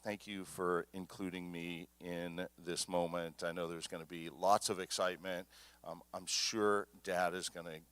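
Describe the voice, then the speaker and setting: A man officiating a small wedding, speaking informally and haltingly into a microphone. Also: deep voice, flat delivery, fairly bright tone, clear, resonant tone, no room sound. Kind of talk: informal wedding ceremony address. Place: small wedding ceremony at a temple, streamed. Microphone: microphone through a sound system.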